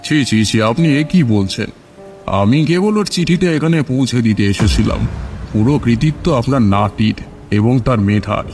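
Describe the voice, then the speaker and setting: A man's voice: mid-range voice, a little slow, a little mellow, faint music behind, lightly acted. A man playing a courteous, modest and sceptical letter-bearer in cartoon story dialogue.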